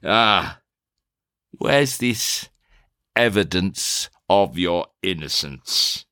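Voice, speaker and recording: A man playing a cool, probing interrogator in a theatrical drama, questioning in a formal, deliberate, insinuating way. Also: low-pitched, animated, sharp tone, clear, resonant tone, dry recording, clean studio sound, full character voice.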